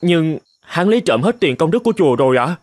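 A man's voice: on the high side, sing-song, fast talker, dry recording. A man playing a polite, earnest young novice monk in a cartoon, deferential and easily upset. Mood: agitated. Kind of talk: dramatic cartoon voice acting.

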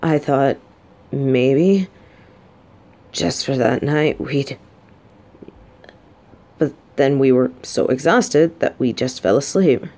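Female soldier bodyguard for romance audio played by a woman: mellow, slow, halting delivery, tough front over a bashful, smitten heart. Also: extremely low pitch, exaggerated voice. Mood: hesitant disbelief. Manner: halting, flustered, soft-spoken. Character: adult female military bodyguard, gruff but shy, secretly lovestruck. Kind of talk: scripted romantic audio roleplay.

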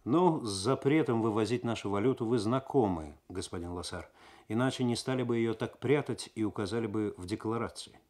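A man's voice: lowish voice, fairly quick, a little mellow, natural voice. A man as a formal customs officer. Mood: dry, official. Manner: formal, matter-of-fact. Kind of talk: dramatic radio story reading.

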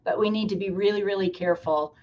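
A woman's voice: mid-range voice, fast, darkish tone, a little hiss or hum. A woman hosting and moderating a panel discussion over a video call. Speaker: host, college administrator moderating a panel. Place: office, on a group video call. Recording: slightly dull video-call audio.

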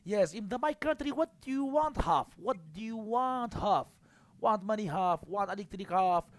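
A man's voice: high-pitched, expressive, moderate pace, no room sound. A man in his thirties with a Kurdish accent, chatting casually as a livestream host and repeating short phrases into a headset mic. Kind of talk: casual chat with strangers on a livestream.

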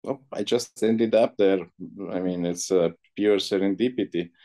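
A middle-aged man from Italy, Italian his first language, answering interview questions on a video call in a halting way, with many pauses and fillers. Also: low voice, warm tone. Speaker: interview guest, entrepreneur. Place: indoor room, light walls. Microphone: video call laptop mic.

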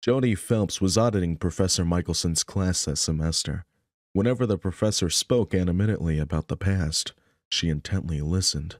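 Low-voiced man narrating a story. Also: normal speed, no room sound, clean studio sound. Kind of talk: narrating a visual novel. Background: music.

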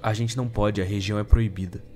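A man's voice: lowish voice, steady tone, quick pace, darkish tone, faint music behind, natural voice. A Brazilian man acting in an audio drama as a dutiful, wary police soldier with a formal, cautious manner. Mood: firm, worried.

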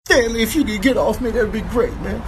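An American man improvising comedic animal voice-overs with animated, exasperated, slangy delivery. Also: high voice, fairly quick, over loud music. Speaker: comedy voice-over performer.

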